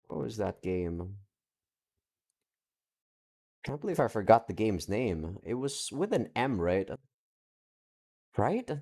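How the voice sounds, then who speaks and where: A man's voice: on the low side, big pitch swings, slow pace, pauses often, a little mellow. A young man talking casually to his viewers from his room at home, rambling, cutting himself off and swearing lightly while he searches his game library. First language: not Japanese. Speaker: visual novel let's play creator. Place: home room with several monitors.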